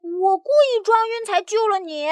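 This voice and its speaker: Woman doing a high, vain boastful prince. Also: expressive, normal speed, a little mellow, dry recording. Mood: flustered. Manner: boastful, self-admiring. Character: vain conceited young prince.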